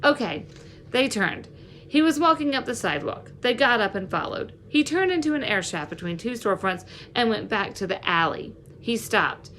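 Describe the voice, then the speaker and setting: A woman reading a children's novel aloud as a teacher would, with an expressive, instructive delivery. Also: on the high side, normal speed, a little hiss or hum. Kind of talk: storybook read-aloud narration.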